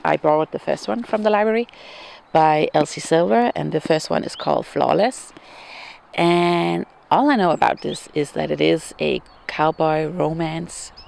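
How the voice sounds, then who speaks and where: Gravelly-voiced woman chatting about books. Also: low-pitched, a little mellow. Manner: chatty, hesitant with frequent ums and small laughs. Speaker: book vlogger. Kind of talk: casual book chat. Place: outdoors.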